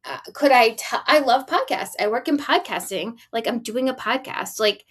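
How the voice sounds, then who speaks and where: A woman's voice: a little high, lively intonation, normal speed, slightly rough. A woman in her forties co-hosting a podcast, telling business news casually with rhetorical questions and emphatic asides. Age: 40s. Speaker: podcast co-host.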